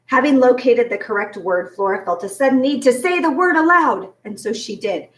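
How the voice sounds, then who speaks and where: A woman reading a children's book aloud in an expressive storytelling style. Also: a little high, moderate pace. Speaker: story reader. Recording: roomy echo, distant from mic.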